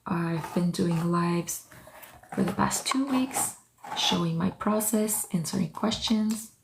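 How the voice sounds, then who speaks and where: A woman whose first language is French chats live from her art studio. She takes her time and thinks aloud with small fillers. She warmly welcomes each viewer by name while she works. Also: on the low side, takes it slowly, vocal fry, background noise. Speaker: artist hosting a livestream. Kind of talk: casual livestream chat while working.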